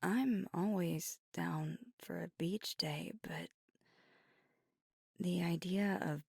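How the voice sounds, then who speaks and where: A woman acts out a young werewolf girlfriend in an ASMR audio roleplay, fiery at heart but weary and guarded. Her voice is low-leaning, husky and crackling with fry, right up against the listener in a dry space. She confides in a tender, halting hush. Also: no echo, full character voice. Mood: self-deprecating, deflecting. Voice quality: breathy, husky. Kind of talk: ASMR roleplay, intimate scripted monologue.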